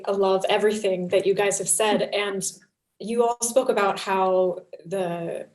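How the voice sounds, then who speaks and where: Expressive woman in an echoey room. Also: mid-range voice, unhurried. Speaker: fiction podcast creator and panelist. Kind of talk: conversational panel discussion. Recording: roomy, echoey sound.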